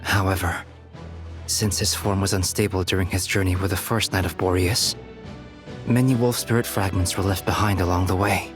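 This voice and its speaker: A man playing a composed, serious knight leader in a video game, laying out plans in a measured, explanatory way. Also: low-pitched, fairly even intonation, over music, lightly acted. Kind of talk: video game dialogue acting.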